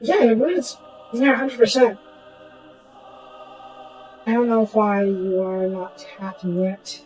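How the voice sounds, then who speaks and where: A woman with a slightly hoarse voice, casually talking through a strategy game and muttering to herself as she plays. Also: medium pitch, fairly even intonation, slow pace, soft background music, rough recording. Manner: muttering to herself while playing, trailing off into quiet asides. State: hoarse from a sore throat. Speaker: gaming channel host. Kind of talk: casual gameplay commentary.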